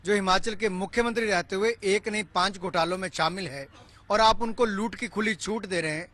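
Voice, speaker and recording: Guy giving a combative political statement, super fast talker, high voice. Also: bright, forceful tone. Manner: combative, accusing. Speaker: political party spokesman.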